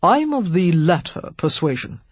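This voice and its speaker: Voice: medium pitch, very animated, a little slow, slightly rough, no echo, muffled recording. A narrator playing an impatient aristocratic man who speaks abruptly and dryly. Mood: curt. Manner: abrupt, dry, sardonic. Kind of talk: audiobook character voice.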